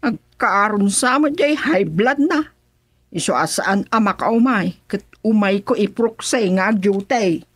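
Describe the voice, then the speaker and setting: A woman's voice: medium pitch, expressive, measured pace, breathy edge, no echo. An actress with an Ilocano Filipino accent playing a councilman's flustered wife, hesitant and whining in protest, in a comedy radio drama. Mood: flustered, pleading.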